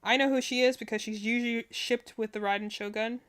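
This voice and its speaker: A woman streams her casual reactions in a smooth, fairly high voice. She rambles from thought to thought, often pausing to search for the right word. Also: steady tone, fairly bright tone. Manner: hesitant, rambling, searching for words. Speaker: streamer reacting to game character trailers.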